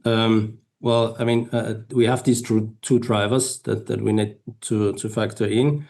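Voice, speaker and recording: A man speaking as a finance executive on an earnings call, explaining figures in a measured, halting way. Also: fairly low pitch, flat delivery, vocal fry, darkish tone. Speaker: finance executive presenting company results. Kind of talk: earnings call presentation and answers.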